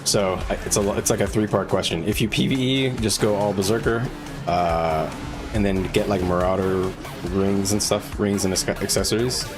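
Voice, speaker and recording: A man talking casually as a game livestreamer, halting as he thinks aloud and gives advice to viewers. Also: lowish voice. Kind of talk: casual live gaming commentary, advising chat. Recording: loud music behind the voice. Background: music.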